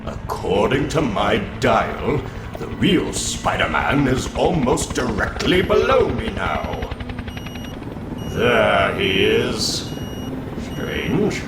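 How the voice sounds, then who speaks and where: A man's voice: fairly low pitch, sing-song, very slow, very noisy, exaggerated voice. A man playing Doctor Doom, an arrogant, menacing, scheming supervillain with a grandiose, theatrical delivery in a comic book dramatization. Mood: gloating, intent. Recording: echoing villain voice under loud music.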